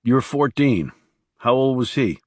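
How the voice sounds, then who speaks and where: A man's voice: mid-range voice, animated, unhurried, no echo, clean studio sound. A man voicing a guarded, blunt male assassin in terse audiobook dialogue. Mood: probing. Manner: terse, questioning.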